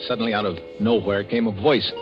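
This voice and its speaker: A man's voice: on the low side, steady tone, a little fast, lightly acted. An actor playing a hunted, wry American tough guy on the run, talking in clipped slang in 1940s radio drama style. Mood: tense narration. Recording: dull old radio recording with music.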